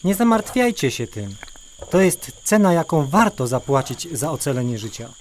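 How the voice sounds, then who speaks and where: Devout old patriarch, fairly high voice, steadfast, earnest, children's radio play, faint outdoor noise. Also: male voice, big pitch swings, moderate pace. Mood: calm, assured. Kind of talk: children's audio drama acting.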